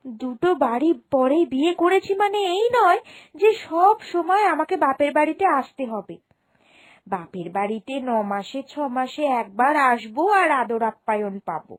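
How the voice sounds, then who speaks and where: Woman acting high-pitched entitled young daughter. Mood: haughty. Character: cunning, selfish, demanding young married daughter. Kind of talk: cartoon moral-story voice acting.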